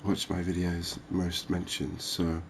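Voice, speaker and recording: A deep-voiced man hosts a game video in a soft-spoken ASMR style. His delivery is very slow and gentle, with hardly any melodic movement. A light layer of ambient noise sits behind him. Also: completely flat delivery, gravelly. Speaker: gaming video host.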